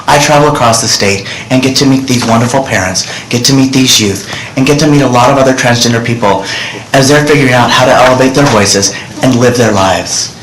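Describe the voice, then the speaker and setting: A man's voice: medium pitch, brisk, background noise. A middle-aged man giving earnest, direct testimony to senators from a desk microphone in a large hearing room. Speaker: witness testifying. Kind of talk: public testimony. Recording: roomy hearing room audio.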